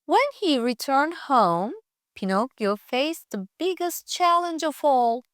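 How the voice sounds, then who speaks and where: A woman reading a scripted children's fairytale aloud for English learners, with careful, deliberate phrasing. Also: high voice, lively intonation, no room sound, clean studio sound. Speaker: storyteller.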